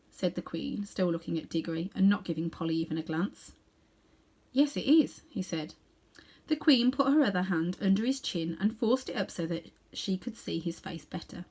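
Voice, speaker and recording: A woman reading a story aloud to children, expressive and dramatic, with chatty asides. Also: on the low side, breathy edge.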